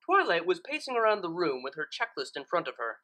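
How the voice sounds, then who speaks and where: A woman's voice: lowish voice, big pitch swings, fast talker, bright, forceful tone. A woman reading a scripted comic story aloud as its narrator. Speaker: fan fiction reader.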